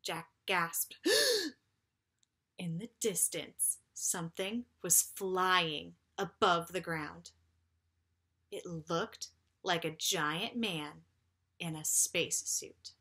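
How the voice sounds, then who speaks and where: A woman reading a children's story aloud like a teacher, with deliberate, emphatic phrasing for young listeners. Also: fairly low pitch, big pitch swings, sharp tone, breathy edge.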